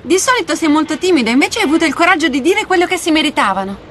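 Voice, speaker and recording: A woman playing a bossy, sharp-tongued teenage schoolgirl in an anime dub, assertive and scolding. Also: high voice, very animated, very fast, slight background noise. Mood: reproving. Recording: music behind the voice.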